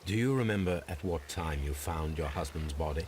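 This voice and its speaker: A man playing a composed, courteous gentleman detective in a video game, speaking in a formal, measured, probing way. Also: rumbling low, slight background noise. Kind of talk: scripted game dialogue.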